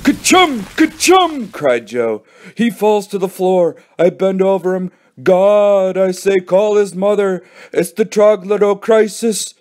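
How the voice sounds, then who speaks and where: A man doing an excitable, high-pitched scheming boy. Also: normal speed, close to an everyday voice. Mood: scheming, melodramatic. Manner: melodramatic, exclaiming, mimics sneezes. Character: excitable scheming boy acting out sneezing fits. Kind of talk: children's audiobook character voice.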